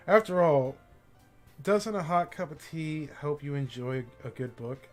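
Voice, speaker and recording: A man doing a soft-spoken bookish girl. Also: on the high side, lively intonation, a little slow, soft background music. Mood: mild. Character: shy polite bookish teen girl.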